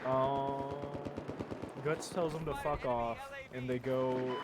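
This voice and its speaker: A man casually retelling a story, halting with lots of fillers, restarts and swearing while he plays a game. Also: mid-range voice, fairly even intonation. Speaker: storyteller summarizing a manga. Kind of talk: casual rambling story recap. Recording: loud video game gunfire behind voice. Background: game gunfire and explosions.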